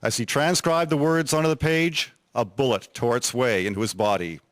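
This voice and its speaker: A man's voice: mid-range voice, normal speed, fairly bright tone, no echo, studio quality. A man reading scripted historical narration in a formal documentary style.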